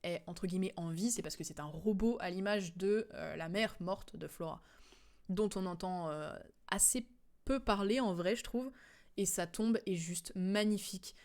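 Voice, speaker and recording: A woman's voice: mid-range voice, moderate pace, a little mellow. A young adult woman giving casual, rambling game commentary as a streamer, in informal, slangy speech.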